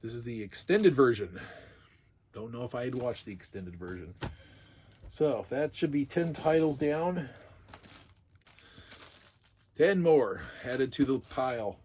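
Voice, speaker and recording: A middle-aged man with a sick, raspy voice, chatting casually and musing aloud as he unboxes his purchases. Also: mid-range voice, animated, takes it slowly, pauses often, a little mellow, slight background noise. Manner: chatty, musing, laughs often. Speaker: collector vlogger.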